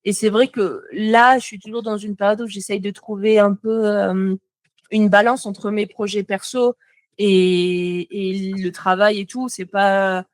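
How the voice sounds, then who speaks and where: A woman's voice: medium pitch, flat delivery, a little slow, dry recording. Young french woman rambling casually, lots of euh and restarts, dry close sound. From France. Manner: chatty, many fillers and self-restarts. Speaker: guest, motion designer. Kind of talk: casual podcast conversation.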